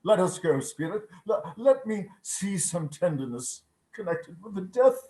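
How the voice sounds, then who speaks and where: A woman's voice: lowish voice, lively intonation, measured pace, warm tone. A woman playing a frightened, repentant old miser in a radio play, pleading dramatically. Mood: fearful pleading. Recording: roomy, echoing video-call audio.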